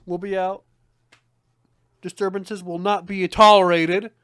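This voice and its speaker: A young man co-hosting a podcast, with a deep voice and a relaxed, rambling way of chatting. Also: unhurried, slight background noise. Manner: laid-back, laughing, trails off mid-sentence. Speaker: podcast co-host. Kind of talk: casual chat.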